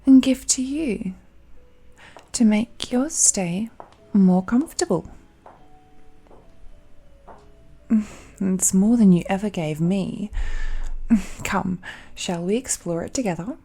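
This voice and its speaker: Woman playing a slow, silky, possessive ancient villainess. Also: mid-range voice, warm tone. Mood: grandly doting. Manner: slow, coaxing, condescending, often sinking into breathy whispers. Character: ancient, all-powerful female villain, possessive, smugly doting yandere. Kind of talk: romantic audio roleplay, intimate monologue to listener.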